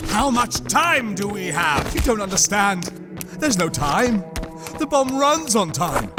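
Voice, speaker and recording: A man's voice: high voice, very animated, slow, exaggerated voice. A man playing a grim, anguished god who gives urgent, portentous warnings of doom in a motion comic. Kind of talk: dramatic motion comic voice acting. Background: music.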